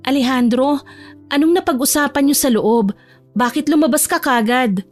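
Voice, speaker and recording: Female narrator as meek young newcomer, romance audio drama. High, deferential, plaintive, over light music. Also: normal speed, lightly acted. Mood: curious, concerned.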